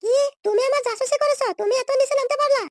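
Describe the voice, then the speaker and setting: Squeaky cartoon young woman, acted by a man, very fast, scolding, brash, slangy, dry close sound. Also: slight background noise. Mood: indignant, explaining. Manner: scolding, threatening, slangy insults. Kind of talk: comedy cartoon dialogue.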